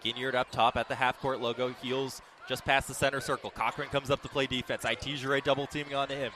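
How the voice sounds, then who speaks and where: A man calling live basketball play-by-play for radio in clipped, descriptive phrases that build to excited calls on big plays. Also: medium pitch, quick pace. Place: indoor basketball arena. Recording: live arena broadcast with game noise.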